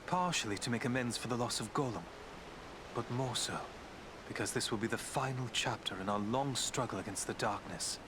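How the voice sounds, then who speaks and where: An actor playing a noble woodland elf prince in a fantasy game, speaking in a formal, measured, solemn manner. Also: male voice, medium pitch, natural voice. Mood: resolute, solemn. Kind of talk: fantasy video game character acting. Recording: clear background ambient noise.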